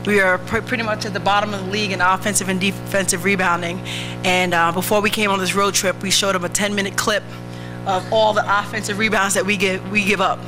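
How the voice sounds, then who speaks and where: Measured woman coach at a podium mic. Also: mid-range voice, flat delivery, music in the background, low-quality recording. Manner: measured, many fillers and repeated words. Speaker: head basketball coach. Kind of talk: post-game press conference remarks. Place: press conference room. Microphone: table microphone.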